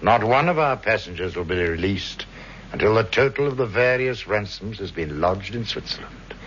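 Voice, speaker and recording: An actor playing a suave, cold-blooded criminal mastermind with menacing politeness in a vintage radio drama. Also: male voice, on the low side, animated, normal speed, fairly bright tone. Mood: smooth, assured. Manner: silky, formal, menacing politeness. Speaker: actor in a radio crime serial. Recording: dull, hissy vintage radio recording.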